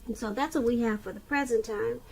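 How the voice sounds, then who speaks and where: A woman giving an unscripted tarot reading to the viewer, halting as she goes, pausing mid-sentence and repeating phrases. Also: a little high, creaky, darkish tone, husky. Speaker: tarot reader.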